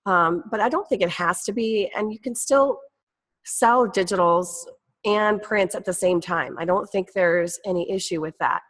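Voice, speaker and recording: A woman's voice: mid-range voice, normal speed, a bit bright. A woman hosting a casual live talk show over a video call, chatting upbeat with many fillers while she has a cold. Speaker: host.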